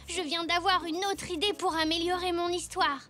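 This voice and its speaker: A performer voicing an eager young zebra boy in a children's cartoon, with a bright childlike voice. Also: very high-pitched, flat delivery, normal speed, faint music behind.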